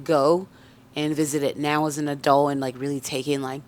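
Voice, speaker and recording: Young female singer from Canada, French first language, relaxed interview chat, slightly low voice. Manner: chatty, laughs easily, quick bursts.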